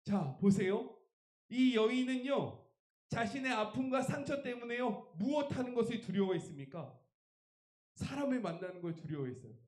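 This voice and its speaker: A man's voice: very high-pitched, animated, moderate pace, a little mellow, reverberant. A man preaching a revival sermon as a pastor, emphatic and putting rhetorical questions to his congregation. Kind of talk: Korean church revival sermon.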